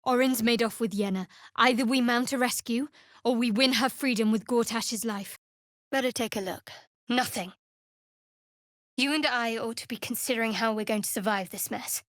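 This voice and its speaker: An actress playing a guarded, wry young cleric of darkness in a video game, speaking in a measured way. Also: female voice, on the high side, no echo, studio quality, lightly acted. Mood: wry, cautious.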